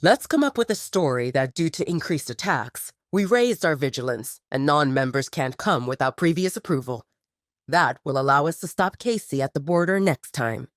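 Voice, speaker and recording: A woman voicing a commanding, irritated young male pack leader in an audio drama, speaking with measured authority. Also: low-pitched, animated, no echo, studio quality. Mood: firm, measured. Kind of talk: audio drama narration dialogue.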